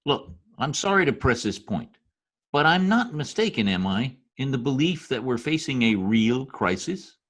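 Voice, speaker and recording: Man acting a scheming adviser, husky voice, lively swings, wordy and deferential, radio comedy. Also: medium pitch, moderate pace. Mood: deferential, insistent. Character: smooth-talking, scheming political adviser to a dictator. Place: home room, recorded over video call.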